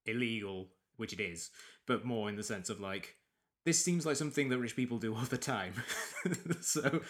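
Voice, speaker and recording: A man's voice: medium pitch, moderate pace. A man hosting a casual film podcast, a little sniffly from allergies, rambling with many restarts. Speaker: podcast host.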